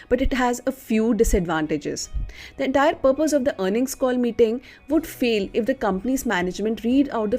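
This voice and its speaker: A woman's voice: on the high side, dark tone. A woman reading a scripted educational narration as the narrator of an explainer video. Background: background music.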